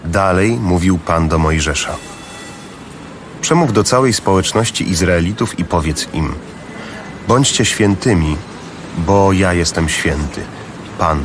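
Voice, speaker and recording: A man's voice: sits low, a little slow, pauses often, music in the background. A man giving solemn scripture narration in an audio drama.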